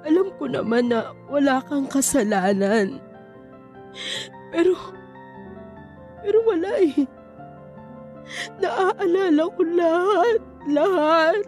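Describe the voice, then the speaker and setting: An actress plays an anguished Filipino mother in a radio drama, her high voice soft-edged and mellow. She speaks slowly and haltingly, doubling back on words, weighed down by guilt. Faint music runs underneath. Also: animated, full character voice. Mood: anguished, tearful. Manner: halting, repeating words, tearful.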